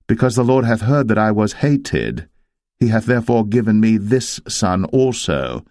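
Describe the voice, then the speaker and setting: A man voicing a sorrowful, devout young wife in a dramatised scripture reading, with solemn, measured archaic speech. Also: lowish voice, sing-song, a little mellow, dry recording, clean studio sound, voice pushed up. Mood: wounded hope.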